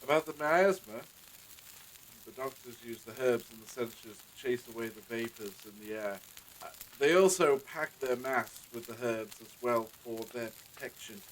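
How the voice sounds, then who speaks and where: A man's voice: medium pitch, normal speed, a little hiss or hum, natural voice, low-quality recording. An actor playing an anxious, courteous village husband and father in a period audio drama, with hesitant, formal old-fashioned phrasing. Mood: uneasy, polite.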